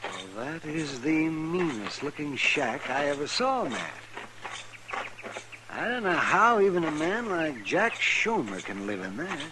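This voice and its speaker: A man's voice: a little high, big pitch swings, unhurried. An American man acting an older, crusty, matter-of-fact frontier town doctor in a 1950s radio western. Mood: wry. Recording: noisy old radio recording.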